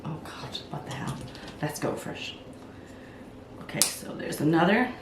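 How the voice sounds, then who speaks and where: An older woman with a hoarse, croaky voice from a cold, chatting casually as a plant vlog host at home while she works, thinking aloud. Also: low-pitched, expressive, measured pace, heavy background noise. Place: at home, indoors. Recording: roomy sound from a distance.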